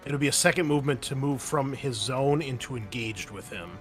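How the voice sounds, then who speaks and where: A man's voice: medium pitch, brisk. A man runs a tabletop game as its game master, his voice sitting in a middle register. He explains rules with filler words and restarts. Faint music plays underneath.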